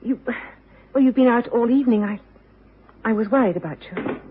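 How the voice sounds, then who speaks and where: A woman's voice: a little high, moderate pace, breathy edge. An actress playing an earnest, tender, anxious young woman in a radio drama. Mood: anxious, pleading. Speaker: supporting actress. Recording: tinny old radio recording.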